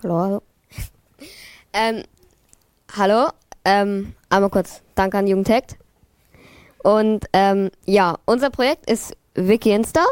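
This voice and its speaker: A woman's voice: mid-range voice, steady tone, slow, long pauses, darkish tone, clear, resonant tone, dry recording. A teenage girl presenting her team's project, hesitant and full of fillers. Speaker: student presenter.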